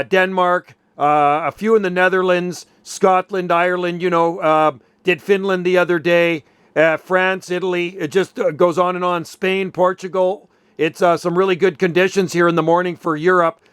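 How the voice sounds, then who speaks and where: A man's voice: high-pitched, fairly bright tone, clean tone, dry recording, clean studio sound. A man chatting casually as an amateur radio operator, easygoing and rambling as he tells stories. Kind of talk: casual radio chat, storytelling.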